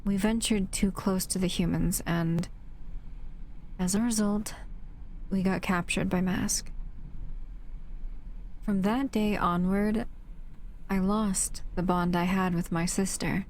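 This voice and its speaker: A woman playing a gentle, protective shadow monster in an intimate audio roleplay, speaking softly and affectionately to the listener. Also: medium pitch, steady tone, slow pace, pauses often, a little hiss or hum. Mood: wistful.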